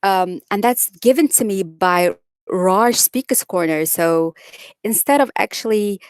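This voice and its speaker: A woman's voice: mid-range voice, measured pace, clean tone, no echo. A young woman with a Dutch accent, chatting bluntly with viewers on a live stream and reading out their comments. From Netherlands. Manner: blunt, outspoken, says it like it is.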